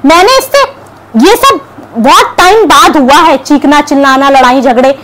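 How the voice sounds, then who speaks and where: A woman's voice: high-pitched, moderate pace, fairly bright tone, low-quality recording. A young woman vlogger giving combative reaction commentary to camera, scolding and sarcastic. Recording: clear background noise.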